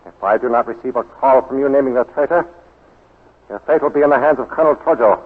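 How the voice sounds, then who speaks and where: A man plays an imperious Japanese statesman in a vintage radio play. His delivery is formal, grave and coldly controlled. The voice is husky, swimming in reverb on a thin, narrow old recording. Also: mid-range voice, fast talker, lightly acted. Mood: coldly menacing. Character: cold, imperious Japanese baron, head of government. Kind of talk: 1940s radio drama acting. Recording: hollow, lo-fi 1940s radio recording with long reverb.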